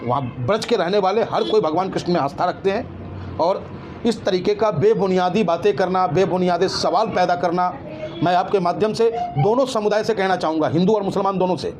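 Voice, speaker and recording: A man speaks out as an interview guest. He argues emphatically and indignantly, throwing out rhetorical questions, with loud ambient noise around him. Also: high voice, a little fast. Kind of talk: impassioned street-interview opinion talk.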